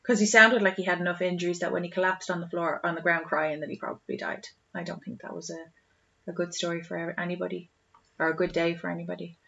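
A woman's voice: fairly low pitch, fairly even intonation, quick pace, creaky, bright, forceful tone, lo-fi sound. An Irish woman co-hosting a podcast in casual chat, with an exclamatory, storytelling manner. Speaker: podcast co-host.

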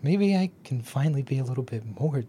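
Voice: medium pitch, animated, brisk, heavy background noise, natural voice. An actor playing an anxious, sleepless customer who stammers and hesitates. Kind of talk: audio drama acting.

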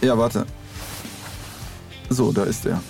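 A man's voice: medium pitch, steady tone, slow, a little mellow, faint music behind. A man co-hosting a podcast in playful casual chat, talking in a dawdling, fussy way. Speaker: podcast co-host.

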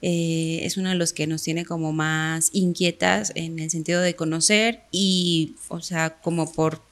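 A woman's voice: sits low, fairly even intonation, unhurried. A woman co-hosting a podcast, talking in an easygoing, conversational way. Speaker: podcast co-host. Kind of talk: casual interview chat.

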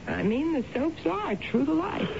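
An actress playing an earnest middle-aged American housewife, solicitous and insistent, in a radio drama. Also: female voice, sits high, sing-song, a little slow, creaky, exaggerated voice. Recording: dull, noisy old radio broadcast.

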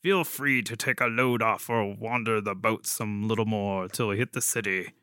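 A man's voice: fairly low pitch, fairly even intonation, moderate pace, bright, forceful tone, clean tone, dry recording, clean studio sound. A man voicing a casual, half-hearted faux-sailor tour guide in an audio story, folksy and offhand.

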